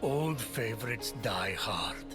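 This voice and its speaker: An actor playing a dignified, gently wry storyteller, narrating myths in a video game in a measured, grave way. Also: male voice, lowish voice, expressive. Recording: loud music behind the voice.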